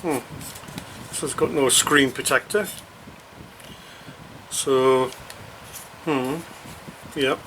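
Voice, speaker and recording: A man talks through an unboxing at a slow, halting pace, thinking aloud. His mid-pitched voice is matter-of-fact and unscripted. The recording has clear background noise, with packaging rustling as he goes. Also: steady tone, fairly bright tone, low-quality recording. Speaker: radio hobbyist presenting an unboxing. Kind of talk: unscripted product unboxing commentary. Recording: noticeable background noise, crinkling packaging handling.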